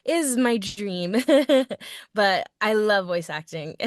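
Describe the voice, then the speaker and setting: A woman's voice: medium pitch, expressive, very slow, dry recording. Chatty, enthusiastic young woman guest. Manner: enthusiastic, chatty, many "like" fillers. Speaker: guest voice actor and narrator. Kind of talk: casual podcast interview. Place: podcast recording.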